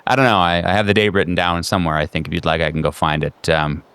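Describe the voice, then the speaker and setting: A man's voice: low voice, fairly even intonation, fast, fairly bright tone, clear, resonant tone, dry recording. A man playing an awkward, worried suburban neighbor in his thirties in a tabletop game, hesitant and rambling. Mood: guarded, rambling.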